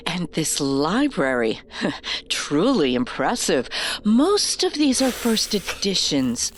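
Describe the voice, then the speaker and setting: An actress playing a polite, professional, deferential campaign strategist in an audio drama. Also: female voice, on the low side, sing-song, takes it slowly, a little hiss or hum. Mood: polite, eager.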